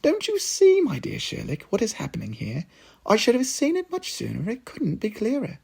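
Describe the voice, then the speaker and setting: An eager, clever cat sidekick, as a man puts her on, pitched above his usual voice with lively, bouncing inflection. The tone stays dark and gravelly beneath the brightness. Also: normal speed, heavily acted. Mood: excited. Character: clever female cat sidekick, brisk and earnest.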